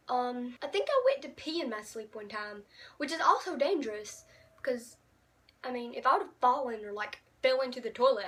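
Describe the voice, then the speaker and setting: A teenage girl with a high, bright voice tells stories about herself straight to the camera. She is chatty and dramatic and makes fun of herself as she goes. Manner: chatty, dramatic, giggly, self-mocking. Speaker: vlogger. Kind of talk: casual storytime vlog.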